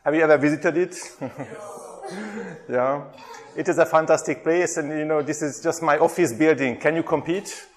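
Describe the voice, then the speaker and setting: A man's voice: on the high side, steady tone, moderate pace. Middle-aged Hungarian man, slightly raised voice, running an interactive workshop with lots of ums. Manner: chatty, frequent hesitations, asks the audience questions. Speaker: workshop presenter, theology lecturer.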